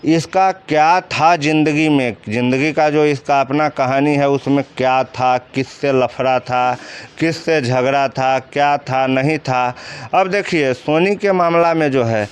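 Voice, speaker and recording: A man's voice: mid-range voice, measured pace, clean tone. A man with a Bihari accent giving informal commentary in an interview, explaining colloquially and often answering his own questions. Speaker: commentator interviewed about crime cases.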